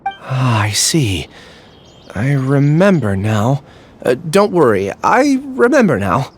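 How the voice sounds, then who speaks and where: An actor playing a gentle, troubled young man with amnesia who speaks hesitantly and uneasily. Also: mid-range voice, very animated, slow, slight background noise. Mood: uneasy. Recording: game soundtrack behind.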